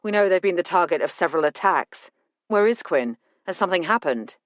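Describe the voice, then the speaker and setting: Woman reading a calm detective inspector. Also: fairly low pitch, a little fast, no echo, studio quality, lightly acted.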